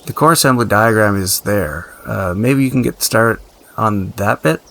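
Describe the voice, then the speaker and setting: An actor playing a practical, blunt but uneasy survivor and tinkerer in an audio drama, talking in a halting, gruffly casual, profane way. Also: male voice, on the low side, a bit bright. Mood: businesslike. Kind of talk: audio drama dialogue, naturalistic.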